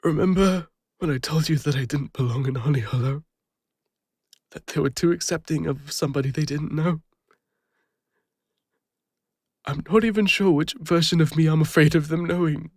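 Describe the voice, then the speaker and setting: A man plays a guilt-ridden fantasy adventurer with an English accent and a slightly high, gravelly voice. He speaks in a halting, confessional way, wistful and exposed. The sound is dry and close, like an intimate audio roleplay. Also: dry recording. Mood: anguished, ashamed. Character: Killian, guilt-ridden retired male adventurer, vulnerable, lonely, wistful.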